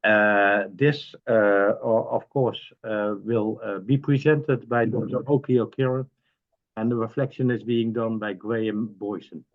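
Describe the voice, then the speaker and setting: Male webinar host, lowish voice, hesitant delivery, dull lo-fi call audio. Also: fairly even intonation, a little slow. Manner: hesitant, many fillers and restarts. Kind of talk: hosting a webinar. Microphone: video call.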